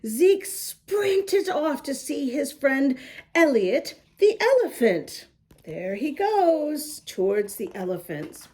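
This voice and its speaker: Female storybook reader for young children: high, lively pitch, unhurried delivery, expressive. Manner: animated, deliberate, with asides to little listeners. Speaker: children's picture book reader.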